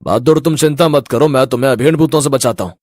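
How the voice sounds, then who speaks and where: A man's voice: medium pitch, quick pace, darkish tone, dry recording, studio quality, pitched below the performer's own voice, lightly acted. A man voicing a brave, determined police inspector in a horror cartoon, firm and resolute. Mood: defiant. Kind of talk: Hindi horror cartoon voice acting.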